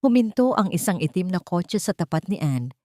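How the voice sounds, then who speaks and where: A woman's voice: on the low side, big pitch swings, moderate pace, warm tone, no room sound, clean studio sound. A woman storyteller reading dramatic scripted narration for an audio love story.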